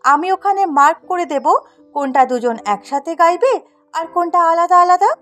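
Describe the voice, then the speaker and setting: A woman playing a brisk, kindly schoolteacher in an audio story, giving instructions and checking for agreement. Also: very high voice, animated, a bit bright, faint music behind. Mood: businesslike. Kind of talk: Bengali audio story acting.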